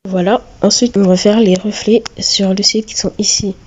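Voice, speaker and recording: A woman with a cold giving a step-by-step drawing tutorial, talking hesitantly with many filler pauses. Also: mid-range voice, steady tone. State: has a cold. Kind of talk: screen-recorded step-by-step tutorial.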